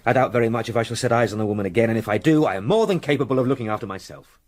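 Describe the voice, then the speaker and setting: An actor playing a determined, polite young English solicitor in a period radio drama, speaking in formal, precise phrases. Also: male voice, medium pitch, racing, dry recording, studio quality, natural voice. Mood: firm, insistent.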